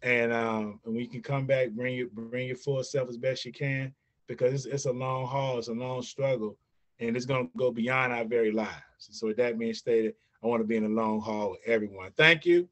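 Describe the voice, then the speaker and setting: A man's voice: medium pitch, fairly even intonation, normal speed, fairly bright tone, muffled recording. A man speaking earnestly on an online panel, repeating words as he urges his movement toward unity. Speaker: veteran movement leader, panelist.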